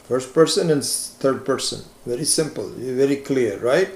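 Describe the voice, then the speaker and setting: A man's voice: mid-range voice, normal speed, vocal fry, darkish tone. A man whose first language is Hindi, teaching a scripture class and explaining ideas patiently, step by step, with everyday examples. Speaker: Vedanta teacher. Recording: echoey room.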